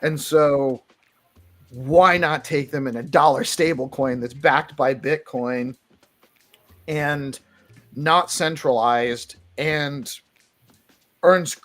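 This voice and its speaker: A man's voice: on the high side, a bit bright. A man hosting a casual morning talk show, chatting conversationally with guests. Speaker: host.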